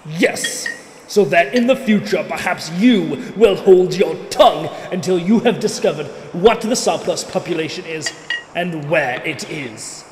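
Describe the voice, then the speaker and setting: An actor playing a stern, mocking ghostly spirit in an audio play, speaking in a solemn, declamatory manner. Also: male voice, on the high side, expressive, a little slow, a little hiss or hum. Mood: stern rebuke. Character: stern, mocking male Christmas spirit, grave and scolding. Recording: echoing hall reverb with music.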